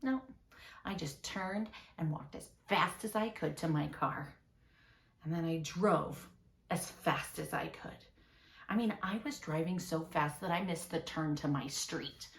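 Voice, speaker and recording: A woman's voice: deep voice, a little slow, vocal fry, roomy sound. A woman playing a frazzled suburban mother in a rambling, self-justifying, conversational monologue. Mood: defiant, wistful. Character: frazzled suburban mother confessing an embarrassing moment. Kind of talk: dramatic monologue, conversational confession.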